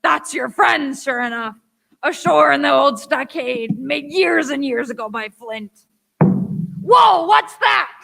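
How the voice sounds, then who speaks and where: A woman playing a half-mad, excitable marooned old sailor man with rustic pirate speech in a radio play. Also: high voice, slow pace, creaky, dry recording, faint music behind, exaggerated voice, rough recording. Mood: excited. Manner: rustic pirate speech, exclaiming, repeating himself.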